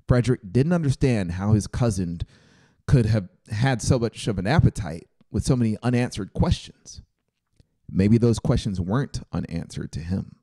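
A man in his thirties narrates a story as an audiobook. His voice sits low, with a soft, dark tone and plenty of fry. He is close on a studio microphone. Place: small brick-walled room indoors. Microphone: close studio microphone on boom arm.

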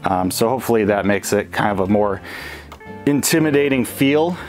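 A young man with a fairly low voice talks loosely and off the cuff, trailing into fillers and restarts with the odd little laugh. A faint music bed plays softly beneath him. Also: normal speed. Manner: relaxed and rambling, many fillers and restarts, small chuckles. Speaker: LEGO builder showing his model stadium. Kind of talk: casual off-the-cuff build update. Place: indoor room with the model, wood-paneled walls.

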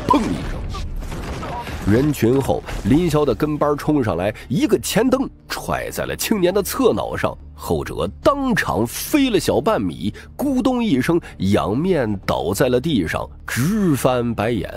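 Guy reading audiobook dramatically, big pitch swings, quiet music underneath. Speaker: audiobook narrator. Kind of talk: dramatic scripted fiction narration.

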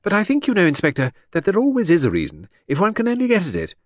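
A man's voice: high voice, huge pitch swings, quick pace, dry recording, studio quality, pitched above the performer's own voice. A man voicing a vague, charming aristocratic older lady who talks in a breezy, scatterbrained gush. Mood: musing.

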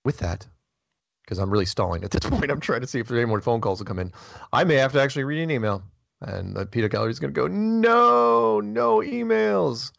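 Gravelly low-voiced joking male host. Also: no echo, muffled recording. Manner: joking, chatty, lively. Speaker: call-in show host. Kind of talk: casual call-in radio chat.

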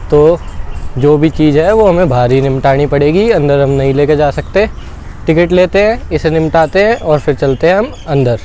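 Young Indian man vlogging, higher-pitched voice, noisy outdoors. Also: a little mellow. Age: 20s. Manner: colloquial, informal, addressing viewers as brother. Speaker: travel vlogger. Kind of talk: casual solo vlog chat while walking. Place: outdoors at an open fort ruin. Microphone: clip-on lapel mic. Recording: clear outdoor background noise.

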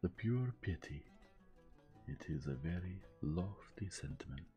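A man's voice: sits low, lively intonation, unhurried, warm tone, highs cut off, faint music behind. A man voicing a vain, precise middle-aged detective with a French accent who slips in French phrases. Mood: wry.

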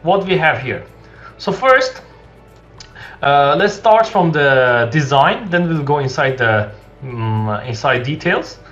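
A young man explains a coding project from a small room indoors, his voice sitting slightly high and his sentences full of restarts and fillers. The sound is dull and lo-fi from a desk mic, with faint background music underneath. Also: very animated, slow, fairly bright tone. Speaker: coding tutorial host. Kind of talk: screen-share coding tutorial.

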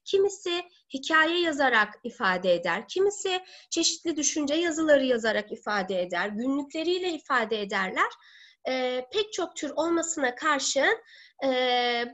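High-pitched woman lecturing on a video call. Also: a bit bright. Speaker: teacher presenting a webinar. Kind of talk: online seminar lecture. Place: living room at home. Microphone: laptop or webcam mic on video call. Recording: dull lo-fi webcam call audio.

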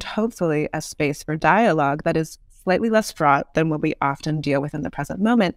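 A woman speaking as an expert interview guest, explaining in long, measured, articulate sentences. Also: sits low, dry recording, clean studio sound. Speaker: podcast guest, author and urban design expert.

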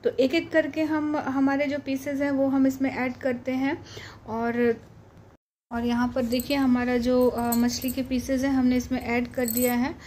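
A woman hosting a cooking channel, calmly walking through a recipe step by step in a voice-over, often pausing with "uh". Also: high voice, a little hiss or hum. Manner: patient, explanatory, frequent "uh" pauses.

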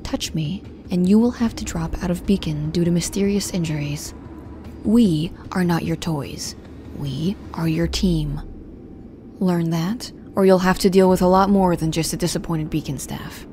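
A woman's voice: on the low side, a little slow, mellow, slight background noise, lightly acted. A woman playing a guarded, protective young woman, narrating an audio drama in the first person in a restrained, brooding, stern manner. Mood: angry, threatening.

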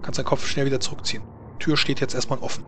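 A man with a mid-pitched voice acts as game master for a tabletop role-playing session. He narrates scenes and gives rulings over some faint background noise. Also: little pitch movement, rapid-fire. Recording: noisy background with room ambience.

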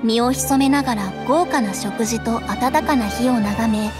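Game narrator: gentle female folktale teller woman actor, high register, scripted storybook delivery over music. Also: measured pace, natural voice. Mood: gentle, dramatic. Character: female storyteller reading a gentle folk tale.

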